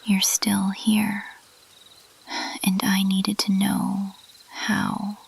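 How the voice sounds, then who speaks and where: A woman voicing a weary, guarded bat-winged monster girl in a halting, hushed, confessional ASMR roleplay whisper. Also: medium pitch, monotone, gravelly, a little hiss or hum, exaggerated voice, rough recording. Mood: earnest.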